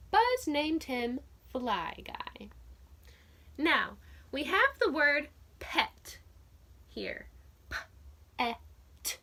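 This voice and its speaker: High, slow-paced woman teacher reading aloud. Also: sharp tone. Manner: slow, clear, sounds out letters and asks listeners questions. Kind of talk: children's read-aloud phonics lesson.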